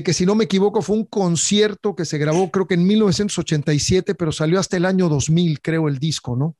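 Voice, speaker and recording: A man's voice: fairly high pitch, darkish tone, dry recording. A man who grew up in Mexico with a Mexican accent, hosting an interview. He speaks in a courteous, effusive way. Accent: Mexican Spanish. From Mexico, born in Cuba. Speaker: interviewer, host.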